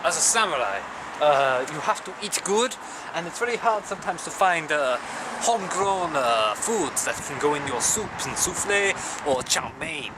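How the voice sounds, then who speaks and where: A young man playing a self-styled wandering samurai, rambling in a deadpan way with sudden crude outbursts, recorded outdoors on city streets. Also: a little high, normal speed, close to an everyday voice. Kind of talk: improvised character comedy to camera. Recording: loud street and traffic noise.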